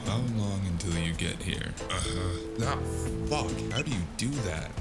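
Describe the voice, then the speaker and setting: Casting: male actor as world-weary cyberpunk noir narrator, extremely low and unhurried, wry and brooding, over loud music. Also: lively intonation. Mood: casual, exasperated. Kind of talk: scripted first-person noir audiobook narration. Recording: constant loud music underneath.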